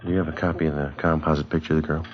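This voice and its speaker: An actor playing a stoic police detective, narrating in a terse, matter-of-fact 1950s radio drama style. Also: male voice, very deep voice, little pitch movement, rapid-fire, background noise. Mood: polite, businesslike. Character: stoic, businesslike male police detective narrating his case. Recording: dull vintage radio recording, music behind.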